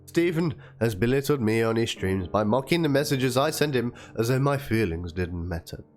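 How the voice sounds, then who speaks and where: A man narrating a written document aloud as a dramatic reading, with theatrical delivery. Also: lowish voice. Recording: music under the voice. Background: background music.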